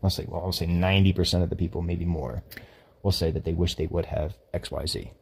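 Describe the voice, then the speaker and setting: A man's voice: sits low, steady tone, fairly quick, vocal fry, a little mellow, slightly rough. A man co-hosting a podcast in casual faith chat, rambling and correcting himself as he talks. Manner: rambling, self-correcting, laughs often. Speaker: podcast co-host.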